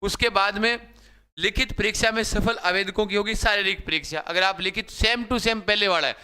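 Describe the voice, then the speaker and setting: A young male coaching teacher with a high, bright voice. He is slightly hoarse but stays smooth and clear. He addresses his viewers over and over in a repetitive, live-class manner. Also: normal speed. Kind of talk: live-stream class talk.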